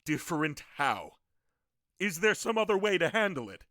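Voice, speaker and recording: An actor playing a bitter, curt, challenging baron in a fantasy audiobook. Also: male voice, fairly high pitch, very animated, normal speed, pushed and bright, dry recording, clean studio sound. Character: bitter, curt baron abandoning his estate. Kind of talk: audiobook character acting.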